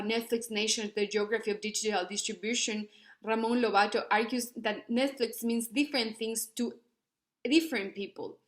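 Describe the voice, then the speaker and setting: A young woman from Ecuador, giving an earnest academic presentation with many fillers and restarts. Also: fairly high pitch. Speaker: student researcher presenting.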